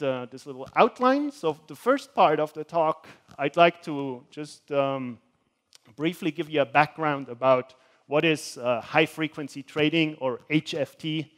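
A man's voice: a little high, animated, measured pace. A young man from Switzerland giving a university lecture with slides, hesitant and full of filler sounds as he walks around a hall stage. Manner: gestures while speaking, walks around the stage. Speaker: guest lecturer. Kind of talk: academic talk with slides.

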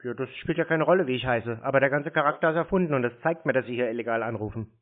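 A man's voice: mid-range voice, racing. Blunt man on a tinny line. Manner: blunt, insistent. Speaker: scam-baiting call host. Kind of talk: unscripted phone confrontation. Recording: tinny phone-like radio sound.

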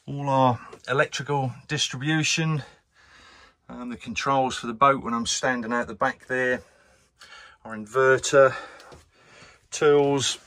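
A man's voice: medium pitch, steady tone, a little slow, a bit bright. A man in his fifties giving a rambling, casual tour of his narrowboat with plenty of ums, filmed on a handheld action camera in a small cabin. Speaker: vlogger.

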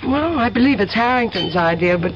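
A woman's voice: mid-range voice, animated, brisk, over music, natural voice. An actress playing a worldly young woman in a radio drama, confiding and a little hesitant. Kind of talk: 1970s radio drama acting. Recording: dull vintage radio recording.

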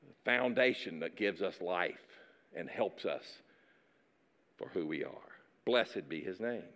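A man's voice: fairly low pitch, a bit bright, in a large hall. A middle-aged man preaching a sermon, moving around a church stage, talking in a conversational way with repeated words and side remarks. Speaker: pastor. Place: large dark church stage.

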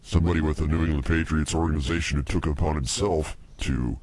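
A man co-hosting a comedy show in casual banter, his voice electronically pitch-shifted down. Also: steady tone, quick pace, a little mellow, a little hiss or hum. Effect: pitch-shifted voice, lowered.

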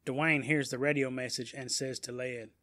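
A man's voice: medium pitch, steady tone, normal speed, bright, forceful tone, dry recording. A man reading scripted narration for a superhero audiobook story. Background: music.

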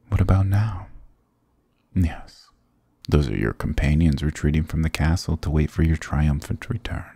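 Male actor as dominant demon king, husky, dark mellow tone, sarcastic purr, close dry ASMR recording. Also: no room sound, exaggerated voice. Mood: teasing. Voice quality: very deep, gravelly, husky. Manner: sarcastic, teasing, menacing purr with low chuckles. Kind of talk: ASMR roleplay acting. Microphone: close, dry microphone.

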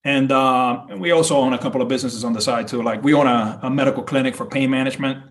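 Middle aged guy from puerto rico on a podcast, earnest explainer, mid pitch, repeats himself for emphasis. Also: quick pace. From Puerto Rico, later south of Boston. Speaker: podcast guest, insurance broker. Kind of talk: conversational interview explanation.

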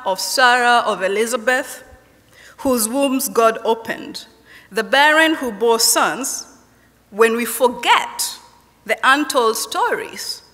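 A Kenyan woman in her forties speaks at a chapel lectern, delivering her testimony slowly, with deliberate, repeating refrains. Her voice is bright and fairly high. A long hall reverb trails behind her through the lectern mic. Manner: slow, measured, rhythmic repetition. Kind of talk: personal testimony sermon read from notes. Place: university chapel stage at a lectern. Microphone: lectern microphone.

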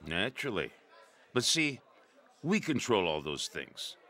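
An American mob boss for a radio play. His voice is low and forceful, swinging animatedly as he leans on people with smooth, pushy menace. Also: very animated, unhurried, exaggerated voice. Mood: quiet menace. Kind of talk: radio drama acting.

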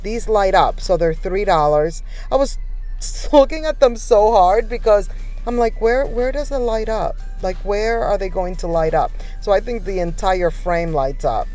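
A woman's voice: fairly low pitch, unhurried, a little mellow, rough recording. A woman narrating a shopping vlog in a casual, enthusiastic, conversational way, talking straight to viewers. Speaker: shopping vlogger. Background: background music.

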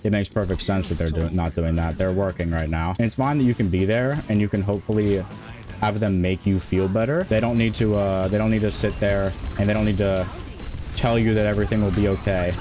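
A man in his twenties streaming a game, talking in a rambling, monotone way with many restarts. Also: on the low side, a little fast, faint music behind. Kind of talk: casual stream chat.

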